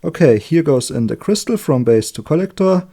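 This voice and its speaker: A man with a German accent, a native German speaker, giving an informal hands-on electronics tutorial in a chatty, halting way, correcting himself as he works. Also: a little high, expressive, dark tone. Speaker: electronics hobbyist demonstrating a circuit build. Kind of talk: informal hands-on tutorial, explaining while working.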